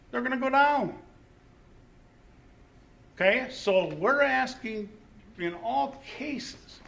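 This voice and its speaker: A man's voice: high-pitched, a bit bright. A man making an impassioned, colloquial public comment at a school board meeting, repeating phrases for emphasis and asking rhetorical questions. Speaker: resident speaking at public comment. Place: indoor public meeting room. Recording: noisy meeting room recording.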